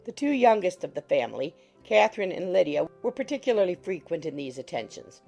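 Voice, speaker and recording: A woman narrates an audiobook from a script. Her voice is mellow and fairly low, with a creaky edge. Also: very animated, fairly quick, faint music behind.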